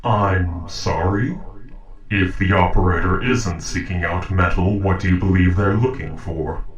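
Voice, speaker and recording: Low-voiced, courteous robot played by a man. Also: reverberant, far from the mic, lo-fi sound. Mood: calm, reassuring. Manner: formal, measured, precise diction. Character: calm, logical, courteous sentient robot, loyal to its maker. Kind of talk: dramatic audio roleplay acting.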